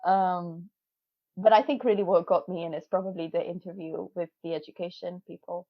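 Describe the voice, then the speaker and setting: Woman with a low voice on a dull video call. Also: fairly even intonation, fairly quick, no room sound. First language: not English. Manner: chatty, laughs often, many fillers and restarts. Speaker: interviewee, PhD student. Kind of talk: casual video call interview.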